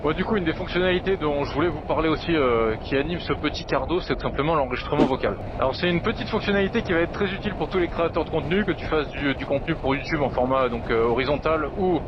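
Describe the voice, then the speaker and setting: A man's voice: fairly high pitch, fast talker, few pauses, muffled recording. A man giving a casual, slangy, chatty gear review while riding a motorcycle, speaking into a helmet intercom microphone. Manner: informal, slangy, chatty, occasional swearing. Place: outdoors, riding a motorcycle in traffic. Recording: road and vehicle noise behind voice.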